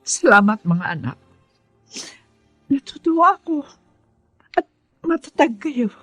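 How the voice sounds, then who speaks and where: Poor mother of five: tender, worried, highly expressive radio serial performance. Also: takes it slowly, soft background music, heavily acted. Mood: grateful, tender. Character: loving, anxious, struggling mother of five. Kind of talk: emotional radio drama acting.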